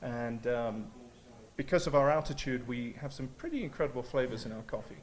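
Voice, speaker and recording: A man's voice: mid-range voice, expressive, a little fast. A man who owns a coffee shop, speaking enthusiastically in an on-location interview, with frequent "uh" and "um".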